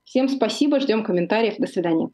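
A woman hosting an online interview, measured and polite, asking her guest questions and thanking viewers. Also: mid-range voice, animated, mellow. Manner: measured, polite, with brief affirmations. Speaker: interview host.

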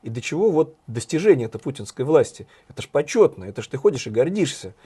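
A man with a hoarse voice co-hosting a satirical news chat, talking in a sardonic, ironic way. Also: medium pitch, very animated, quick pace, dark tone. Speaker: co-host, journalist.

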